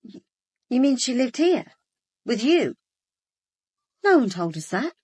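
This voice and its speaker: A woman's voice: a little high, sing-song, slow pace, darkish tone, slightly rough, dry recording, clean studio sound, exaggerated voice. An actress playing an alert, businesslike policewoman in a British radio drama, direct and inquiring. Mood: probing. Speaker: actor in a radio murder mystery.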